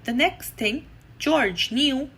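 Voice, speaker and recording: A woman reading a children's story aloud, deliberately and expressively, with drawn-out emphasis. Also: high voice, expressive, a bit bright, husky, low-quality recording.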